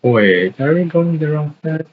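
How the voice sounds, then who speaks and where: A man's voice: on the high side, animated, unhurried, a little hiss or hum. A man streaming a game, casually reading dialogue and commenting as he plays. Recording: dull lo-fi mic, game music behind.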